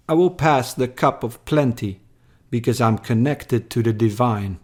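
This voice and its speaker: Guy reading a poem out loud, really slow and deliberate, mid pitched voice. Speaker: poem reader. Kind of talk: scripted poetry recitation.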